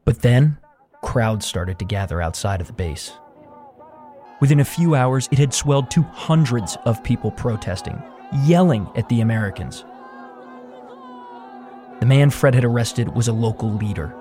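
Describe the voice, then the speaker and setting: A man hosting a podcast, reading scripted narration in a measured documentary way. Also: mid-range voice, expressive, dark tone, faint music behind. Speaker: podcast host.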